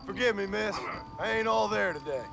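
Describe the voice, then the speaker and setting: Gravelly, weary American outlaw. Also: male voice, high-pitched, fairly quick. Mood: dry. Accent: rural American. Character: weary, cynical American outlaw turned bounty hunter. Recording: background music under the dialogue.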